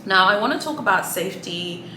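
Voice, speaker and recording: A woman's voice: medium pitch, animated, fairly quick. A woman whose first language is Swahili, chatting casually to camera with many fillers and sassy asides. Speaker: luxury shopping vlogger. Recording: echoey room with clear background noise.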